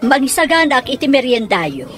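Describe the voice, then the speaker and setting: A woman's voice: high voice, sing-song, normal speed. An actress playing a deferential older household caretaker in a radio comedy-drama, stretching out her words. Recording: loud music behind voice.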